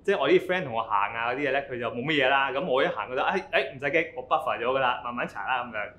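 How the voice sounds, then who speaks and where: A man's voice: mid-range voice, lively intonation, very fast, sharp tone. A man in his thirties from Hong Kong, answering interview questions confidently and with jokes. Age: 30s. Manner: joking, confident.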